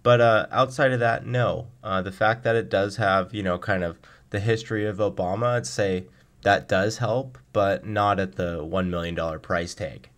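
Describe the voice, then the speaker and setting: A man with a deep, low-pitched voice speaks as a guest on a radio interview. He answers questions in a conversational style, explaining his subject as he goes. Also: fairly even intonation, normal speed. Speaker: guest, car blog writer.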